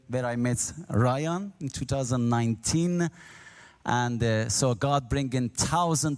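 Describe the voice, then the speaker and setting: Man preaching in a reverberant hall. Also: medium pitch. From Iran, near the Turkish border. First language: Azeri. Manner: earnest, halting non-native English, grows animated while telling his story. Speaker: guest speaker. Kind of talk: church testimony and talk.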